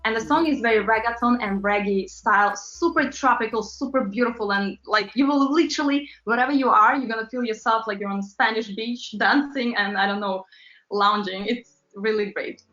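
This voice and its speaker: Chatty woman from Latvia, higher voice, interview guest; faint music under a muffled recording. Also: fairly quick, a bit bright. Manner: chatty, laughs often, many fillers. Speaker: interview guest, pop singer. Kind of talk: casual interview chat. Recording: roomy, dull lo-fi sound with faint music.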